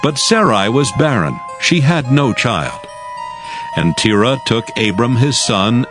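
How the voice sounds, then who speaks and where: A man narrating scripture from a script in a measured, formal manner. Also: medium pitch. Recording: music behind the voice.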